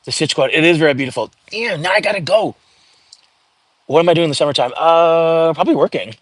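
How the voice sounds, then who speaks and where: A man hosting a casual live stream, chatting and reading viewer comments aloud with lots of fillers and restarts, in a calming voice. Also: a little high, fast talker, no room sound. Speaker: livestream host.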